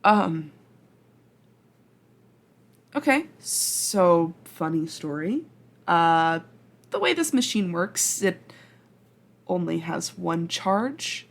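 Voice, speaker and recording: A woman's voice: lowish voice, sing-song, vocal fry, heavily acted. Flustered, stammering young-sounding woman time traveler, acted by a woman. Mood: dawning worry. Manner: halting, stammering, rambling. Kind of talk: ASMR roleplay, acted monologue to the listener.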